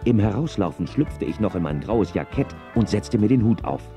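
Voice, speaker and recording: Western sheriff storyteller, warm and mellow, lively intonation, wry first-person narration. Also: male voice, lowish voice, normal speed, faint music behind. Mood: reflective. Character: weary, wry Wild West sheriff telling his story. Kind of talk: scripted first-person narration, radio play.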